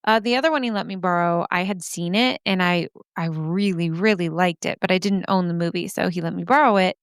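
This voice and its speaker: Woman, 30s, podcast host, hoarse, scratchy, vocal fry, rambling chatter, smooth tone, dry close sound. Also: fairly low pitch, fairly quick, no room sound. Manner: rambling, self-deprecating, animated asides.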